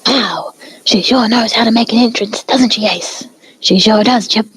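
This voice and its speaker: A woman's voice: fairly high pitch, normal speed, a bit bright, a little hiss or hum, close to an everyday voice, rough recording. A woman voicing chatty pony sports commentators calling a contest in a story reading. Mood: upbeat, announcing.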